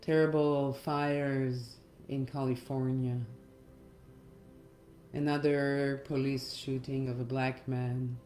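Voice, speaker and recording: A middle-aged woman gives a reflective guided meditation talk in a gentle, measured manner with pauses. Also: extremely low pitch, flat delivery. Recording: roomy echo, faint music underneath. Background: faint music.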